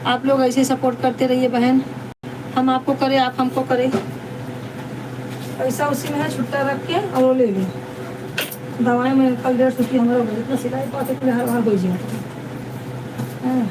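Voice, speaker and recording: A woman with a high-pitched voice hosts a casual live chat. She talks to viewers as sisters and often repeats herself. She sounds some way from the microphone, with clear background noise around her. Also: fairly even intonation, moderate pace, pauses often. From Deoria district, India. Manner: repetitive, chatty, addresses viewers as sister. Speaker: live stream host. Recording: distant mic, noisy background.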